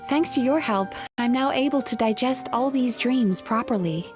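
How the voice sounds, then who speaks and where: A woman voicing a gentle, apologetic dream-eating female spirit in a video game, speaking politely and earnestly, like a young professional. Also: on the high side, normal speed, over music, lightly acted. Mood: calm explaining.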